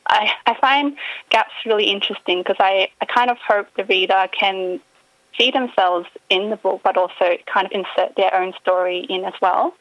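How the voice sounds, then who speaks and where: A woman's voice: mid-range voice, normal speed, fairly bright tone, no room sound, lo-fi sound. A woman author, answering interview questions thoughtfully, often hedging and trailing off. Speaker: author guest. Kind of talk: reflective radio interview answers.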